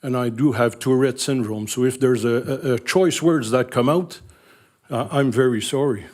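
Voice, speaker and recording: A man's voice: mid-range voice, moderate pace, a little mellow. An older man with a French Canadian accent, French his first language, speaking earnestly and bluntly as a resident addressing a town council. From Northern Ontario, Canada. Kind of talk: public comment at a council meeting.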